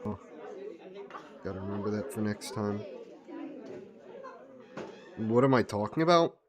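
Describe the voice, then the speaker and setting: Casting: male actor as a lovestruck god disguised as a childhood friend, lowish voice, unhurried, gentle and evasive, for intimate roleplay audio. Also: lively intonation, some chatter behind. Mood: secretive, wry. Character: soft yandere god posing as a childhood friend.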